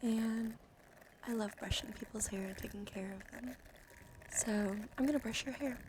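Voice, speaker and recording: A woman hosting an ASMR livestream, chatting gently and soothingly to viewers in a hushed voice that often drops into whispers, slightly strained from just waking up. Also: a little high, normal speed, noisy background.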